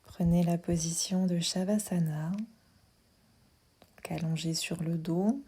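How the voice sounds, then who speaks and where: A woman leads a guided relaxation for sleep in a mellow, hushed voice that often falls to a near whisper. She speaks very slowly, giving gentle instructions with space between them. Her tone is breathy and soothing throughout. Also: on the low side. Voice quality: soft, breathy, half-whispered. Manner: calm, soothing, unhurried instructions with pauses. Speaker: yoga nidra guide.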